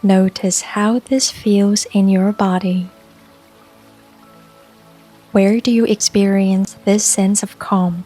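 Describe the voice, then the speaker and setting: A woman narrating a scripted guided meditation in a calm, soothing, unhurried way, with long pauses between phrases. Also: mid-range voice. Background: soft music and rain sounds.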